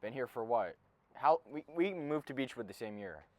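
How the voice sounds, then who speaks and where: A man's voice: mid-range voice, lively intonation, moderate pace, a little mellow. A man of about eighteen co-hosting a podcast in casual chat, joking and slangy, often cutting in on the others. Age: around 18. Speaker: podcast co-host.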